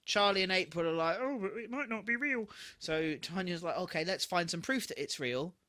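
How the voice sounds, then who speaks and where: Bright, animated man chatting. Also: high-pitched, moderate pace. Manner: animated, often breaks into laughter, swears casually; acts out dialogue while retelling scenes. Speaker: podcast co-host. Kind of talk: casual chat, re-watch discussion.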